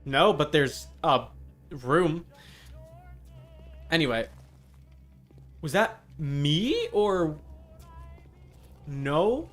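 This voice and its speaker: A man's voice: a little high, sing-song, extremely slow, pauses often. A man giving casual live commentary as he streams a video game, rambling and easily sidetracked. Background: game music.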